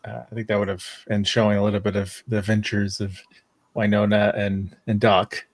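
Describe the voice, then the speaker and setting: A man with a slightly low voice speaks on a fan panel. He rambles casually and hesitantly, thinking aloud, and the sound is fairly dry and close. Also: level tone, brisk, dry recording.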